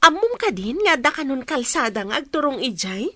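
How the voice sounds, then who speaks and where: An actress with a Filipino accent playing an enthusiastic, friendly bride-to-be customer in a radio drama. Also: female voice, high-pitched, big pitch swings, fairly quick, sharp tone, no room sound, heavily acted. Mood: chatty. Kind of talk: Ilocano radio drama acting.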